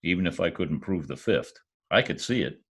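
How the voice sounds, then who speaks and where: Man acting as husky, low-voiced wisecracking private eye. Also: lively intonation, moderate pace, a bit bright, full character voice. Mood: wry narration. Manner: wry, quipping. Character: wisecracking male private eye posing as lonely Oklahoma oilman. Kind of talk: 1940s radio detective acting, first-person narration. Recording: remote video-call recording with music.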